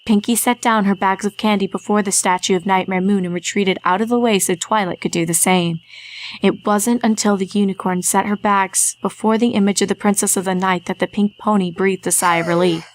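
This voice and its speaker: A woman reading a fan story aloud in a steady, measured narration. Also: mid-range voice. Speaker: reader.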